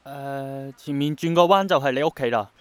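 An actor playing an idealistic, gentle young man in a radio drama, reflective and earnest, with a Hong Kong accent. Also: mid-range voice, moderate pace, clear, resonant tone, dry recording, natural voice. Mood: gentle, encouraging. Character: idealistic, persistent young man longing for rain to return.

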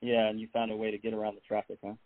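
A man hosting a podcast, asking his guest quick, friendly questions in casual talk. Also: fairly low pitch, completely flat delivery, dry recording. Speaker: podcast host. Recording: dry, tinny phone-like sound.

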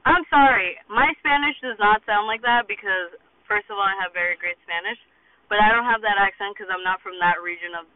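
A man hosting a podcast from his car, chatting casually and ranting animatedly, swearing freely. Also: sits high. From Boston, United States. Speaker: podcast host. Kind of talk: casual chat. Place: parked car. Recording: tinny phone-like audio in a car.

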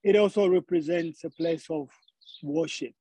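A man's voice: fairly high pitch, normal speed, dark tone, slightly rough, no echo, rough recording. A man from Zambia preaching a sermon, often repeating words as he searches for the next phrase.

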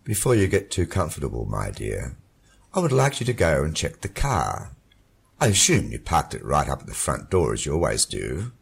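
A sardonic dead husband taunts his widow, as a man voices him. His very deep voice swings widely in pitch and grinds with gravel. A breathy, husky edge hangs under the warm, controlled delivery. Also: huge pitch swings, moderate pace, heavily acted. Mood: mocking. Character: mocking dead husband, middle-aged man, cynical.

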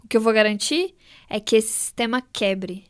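Declaiming woman over soft music. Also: fairly high pitch, moderate pace. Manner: declamatory and forceful. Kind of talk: scripted dramatic monologue.

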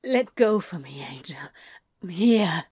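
A woman plays a devoted man in an audio story. He speaks in short, casual, intimate phrases at a slow pace. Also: on the low side, animated, a little mellow, a little hiss or hum. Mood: reassuring, intimate. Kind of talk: audio fiction character acting.

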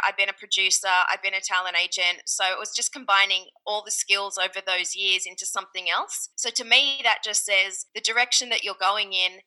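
A woman's voice: mid-range voice, bright, forceful tone, rough recording. A middle-aged Australian woman with an Australian accent, a podcast agency founder telling her career story in a chatty, upbeat way. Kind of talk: conversational interview answers.

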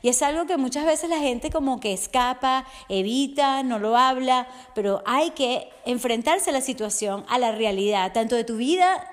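Slightly hoarse woman, earnest coach. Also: sits high, expressive, moderate pace, hardly any pauses. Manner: earnest, heartfelt, often checks in with the listener. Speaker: resilience and fitness coach. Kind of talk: motivational podcast monologue.

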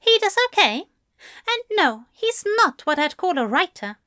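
A woman's voice: high voice, very animated, measured pace, pushed and bright, no echo, clean studio sound, pitched above the performer's own voice, heavily acted. A woman voicing a prim male author with a smug, emphatic manner, as in an audiobook story.

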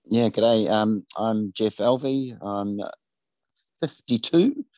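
A man's voice: on the low side, takes it slowly, a little mellow, no room sound. Laid-back New man in his fifties. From New Zealand. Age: 50s. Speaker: guest. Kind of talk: casual interview talk.

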